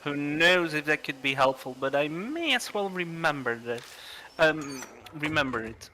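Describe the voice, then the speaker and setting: A man narrating a horror game on a livestream, reading aloud deliberately with pauses. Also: on the high side, animated, pushed and bright, slight background noise, low-quality recording. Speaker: streamer reading a visual novel aloud.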